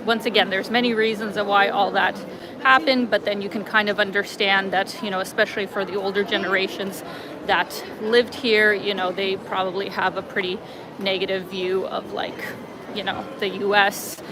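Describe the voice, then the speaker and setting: A woman's voice: medium pitch, little pitch movement, moderate pace. A woman vlogger chatting casually while walking outdoors in light rain, reading viewer comments on a livestream with lots of fillers. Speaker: travel vlogger reading live chat. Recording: clear street noise behind. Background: city traffic and passing vehicles.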